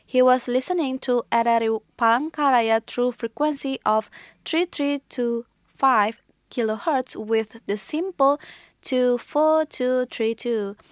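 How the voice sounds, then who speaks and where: A woman with an Indonesian accent, reading listener mail on air in a slow, careful, formal way as a radio presenter. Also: on the high side, no echo, studio quality. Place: radio studio.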